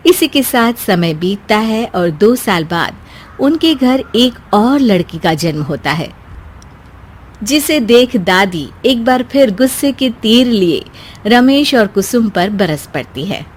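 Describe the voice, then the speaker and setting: Woman narrating a story, medium-pitched voice. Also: big pitch swings, slow pace, husky.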